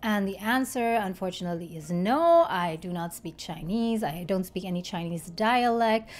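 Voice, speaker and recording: A woman in her thirties from Germany, chatting to camera and explaining things step by step in a bright living room with a desk microphone. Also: medium pitch, expressive, normal speed. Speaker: YouTuber. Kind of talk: casual talk to camera. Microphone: desk microphone in front.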